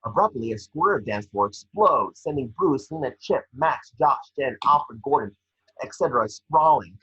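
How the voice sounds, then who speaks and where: Male table-read narrator, bright but very husky, scripted stage directions, slightly muffled home recording. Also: medium pitch, lively intonation, normal speed. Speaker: scene description reader.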